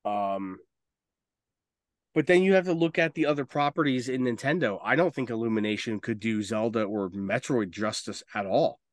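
A man's voice: mid-range voice, normal speed, no echo, studio quality. A man chatting casually as a podcast co-host, informal and rambling, given to swearing. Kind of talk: casual chat about video game movies.

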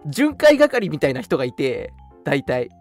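A young man co-hosting a casual radio chat, telling stories in an animated way and acting out short quotes. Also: a little high, a little slow. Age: young adult. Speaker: radio show co-host. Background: background music.

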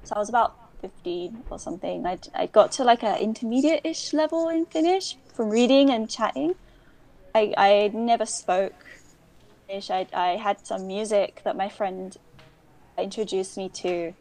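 A woman's voice: mid-range voice, a little slow, a little mellow. Chatty young woman. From United Kingdom. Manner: chatty and digressive, many restarts. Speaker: guest. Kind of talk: casual interview chat.

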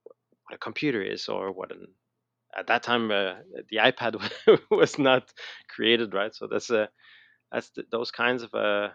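A middle-aged man with a Quebec French accent tells his story in a casual interview, halting and often repeating words mid-sentence. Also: fairly low pitch, vocal fry, fairly bright tone, no room sound, highs cut off. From Montreal, Canada. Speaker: interview guest, educator and designer.

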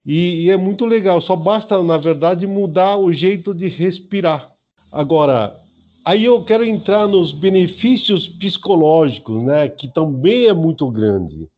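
A man in his early seventies with a Brazilian accent speaks in a somewhat high voice. He explains informally, like an instructor being interviewed, often checking that he is followed. The recording is dull and lo-fi. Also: lively intonation, measured pace. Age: early 70s. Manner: didactic, often adds checking tags. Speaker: senior Aikido instructor, interview guest.

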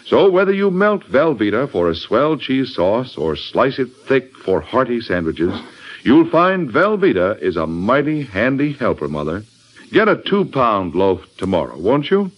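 American guy doing a vintage radio ad, friendly and folksy, really lively pitch, lo-fi sound. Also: measured pace. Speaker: radio announcer, sponsor spokesman. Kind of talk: scripted 1940s radio commercial. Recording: dull lo-fi old radio recording.

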